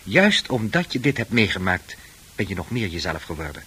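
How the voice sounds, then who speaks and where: A man playing a calm, persuasive psychiatrist with reassuring authority in a radio drama. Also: deep voice, moderate pace, exaggerated voice. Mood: reassuring, firm. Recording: hissy old radio drama recording.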